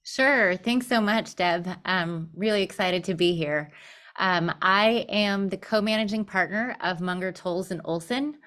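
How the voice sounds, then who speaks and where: A woman's voice: medium pitch, a little slow, a bit bright. A middle-aged woman from Southern California, a law firm leader, telling her life story candidly with light humor. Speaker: guest, law firm managing partner. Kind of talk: personal storytelling in interview.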